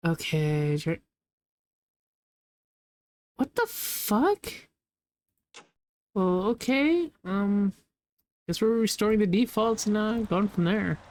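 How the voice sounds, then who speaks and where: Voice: medium pitch, animated, slow, long pauses, darkish tone. A young adult gamer talking loosely over gameplay, rambling, laughing often and swearing casually. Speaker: gameplay video creator.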